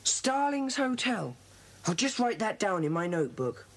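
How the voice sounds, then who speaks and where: A bossy schoolboy in charge of a club. The voice is husky, firm and self-important, in the style of children's audio drama. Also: female voice, medium pitch, very animated, moderate pace. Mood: resolute. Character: bossy, decisive schoolboy leader of a secret club.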